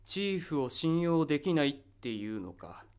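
A man playing a dismissive, authoritative spaceship captain in an audio drama, curt and deliberate. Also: fairly high pitch, very animated, a little mellow, clear, resonant tone. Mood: cold, dismissive.

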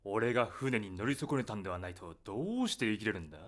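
Man acting a crafty, animated old spaceman. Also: on the low side, fast talker, close to an everyday voice. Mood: wily, wheedling. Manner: wheedling, argumentative, theatrical. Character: stubborn, crafty old spaceship jet engineer, male. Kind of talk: audio drama acting.

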